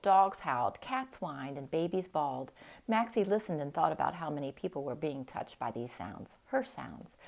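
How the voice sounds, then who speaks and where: Woman reading a picture book out loud, slightly low voice, dramatic deliberate phrasing. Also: gravelly. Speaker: storybook reader. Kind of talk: expressive read-aloud storytelling.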